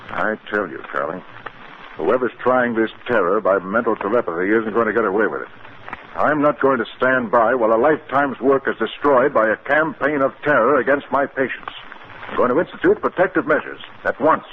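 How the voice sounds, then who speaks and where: An American actor playing an alarmed, obsessive male psychiatrist in a 1950s radio drama, speaking gravely and urgently. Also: mid-range voice, moderate pace, close to an everyday voice. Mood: grim, determined. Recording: scratchy, narrow-band 1950s radio recording.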